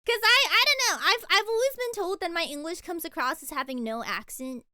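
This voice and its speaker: A woman's voice: very high voice, expressive, brisk, sharp tone, dry recording, clean studio sound. A young woman streaming casually, gushing and giggling as she reacts and answers chat. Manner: bubbly, gushing, frequent giggles and repeated words. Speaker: VTuber streamer.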